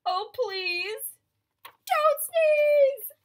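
A woman doing shrill panicked birds. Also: expressive, extremely slow, full character voice. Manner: shrill, squealing pleas.

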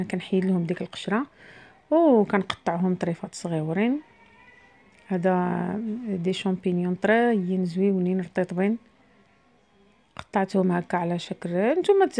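Fast-talking woman with a Moroccan accent. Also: medium pitch, dark tone. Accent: Moroccan Arabic. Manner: chatty, explains each step as she cooks. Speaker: cooking vlogger. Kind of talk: casual cooking voice-over.

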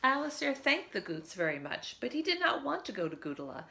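A woman's voice: high voice, sing-song, fairly quick, vocal fry, sharp tone, slight background noise. A woman in her fifties reads a picture book aloud to young children, expressively, with chatty asides, recorded at home. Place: living room at home, by a window.

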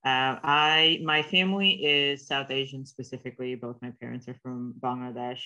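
A young American woman, a guest in a casual video-call discussion, speaking calmly and in a measured way. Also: rumbling low, lo-fi sound. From United States. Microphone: webcam on a video call.

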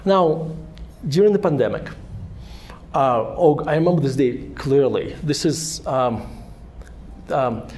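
A man's voice: mid-range voice, slow pace, darkish tone, a little hiss or hum. Russian-accented man telling stories, handheld mic. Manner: rambling, self-deprecating, frequent restarts and fillers. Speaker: author and guest. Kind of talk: fireside chat storytelling. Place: synagogue hall with audience. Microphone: handheld microphone.

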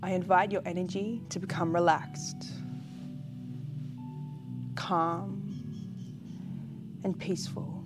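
A woman leads a guided relaxation meditation in a gentle, calm, unhurried way, leaving long pauses between phrases. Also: lowish voice. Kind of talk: guided pregnancy relaxation meditation, spoken slowly and soothingly. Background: background music.

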